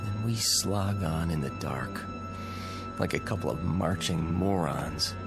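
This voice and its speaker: A man's voice: sits low, slow pace, music in the background. An actor playing a breezy, wisecracking, stubbornly reassuring everyman in a radio drama, with a wry, confident, casual delivery. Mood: grimly determined.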